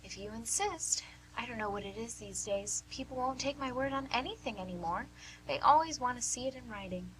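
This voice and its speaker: Woman playing a wry, bright-voiced knowing nurse. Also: fairly high pitch, natural voice, poor audio. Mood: wry, probing. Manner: teasing, probing, deliberate. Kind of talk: amateur radio play acting. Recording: amateur radio recording with hum and noise.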